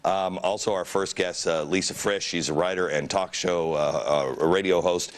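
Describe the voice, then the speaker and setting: A man's voice: low-pitched, fairly even intonation, normal speed. A middle-aged male talk show host with a cold, losing his voice, rambling with many restarts as he leads a studio panel. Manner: rambling, self-deprecating, with frequent restarts. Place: television studio with audience.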